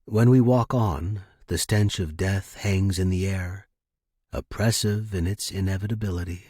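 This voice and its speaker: A man reading first-person fiction as a narrator, in a grave, measured, dramatic manner. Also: low-pitched, a little mellow, no echo, studio quality. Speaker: story narrator.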